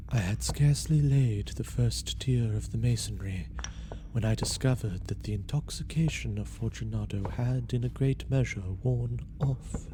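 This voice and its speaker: A man narrates a gothic tale in the first person with theatrical intensity. His voice is on the low side and his delivery is very slow and deliberate. Clear background noise sits behind him. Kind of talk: dramatic first-person gothic story reading.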